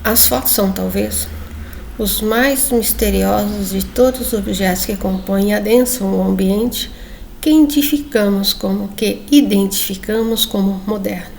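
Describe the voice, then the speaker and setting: Chatty woman with a gravelly voice. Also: mid-range voice, moderate pace, darkish tone, slight background noise, low-quality recording. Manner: chatty, addresses viewers, frequent tag questions. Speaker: book reviewer. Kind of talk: casual presentation reading comic excerpts aloud.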